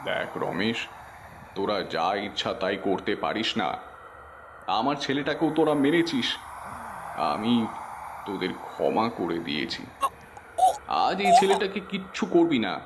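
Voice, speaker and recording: A man's voice: medium pitch, noisy background. A man playing a kindly village snack-seller in a horror cartoon, speaking in an earnest, emotive way. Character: kindly, pleading village snack-stall uncle. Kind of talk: cartoon horror story acting.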